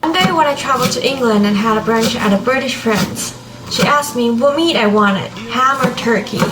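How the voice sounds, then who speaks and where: A woman's voice: a little high, normal speed, background noise. A young woman blogger whose first language is Chinese, telling a scripted story in English with a wry, self-aware delivery. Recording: echoey room with background music.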